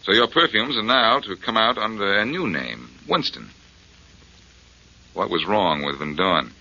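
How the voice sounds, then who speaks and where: Wisecracking old-time radio detective, sardonic and pushy, super punchy voice, dull vintage recording. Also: male voice, on the low side, sing-song, measured pace, gravelly, bright, forceful tone, full character voice. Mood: accusing. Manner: sardonic, pressing questioner. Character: brash, wisecracking male amateur detective, confident and needling. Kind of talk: 1940s radio drama acting.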